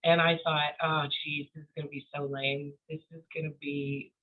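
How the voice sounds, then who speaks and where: A woman's voice: low voice, little pitch movement, moderate pace. Woman chatting over phone-like line. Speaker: guest author. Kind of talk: casual chat, anecdote telling. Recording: thin phone-like call audio.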